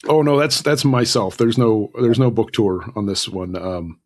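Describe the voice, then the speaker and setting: A man's voice: on the low side, expressive, normal speed, a little mellow. A man talking casually as an interview guest, telling stories in a self-deprecating way with acted-out asides. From Southern California. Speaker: guest author.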